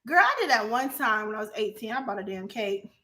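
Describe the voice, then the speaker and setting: A young adult woman vlogging in a casual, profane monologue to her viewers, animated and often laughing. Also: on the high side, fairly quick.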